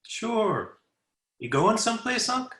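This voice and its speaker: A man playing an eager, cheeky young nephew in an excitable 1940s radio comedy style. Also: high-pitched, slow, heavily acted. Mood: curious. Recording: roomy home remote recording.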